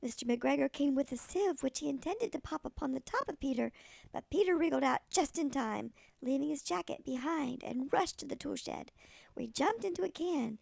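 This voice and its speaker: A woman voicing a tiny old granny who reads a children's storybook expressively in a gravelly voice. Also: high-pitched, expressive, moderate pace, darkish tone, no echo, full character voice. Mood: storytelling. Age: older. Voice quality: creaky, gravelly.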